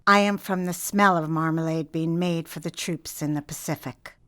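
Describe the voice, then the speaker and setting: An older woman recites memories of her childhood reflectively. Her voice is smooth and resonant, sitting a little low for a woman. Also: fairly even intonation, moderate pace, a bit bright. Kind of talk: personal memoir recitation.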